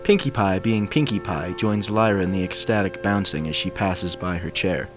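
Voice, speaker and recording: A man's voice: deep voice, normal speed. A man reading fan fiction aloud as scripted narration, with music behind him. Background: background music.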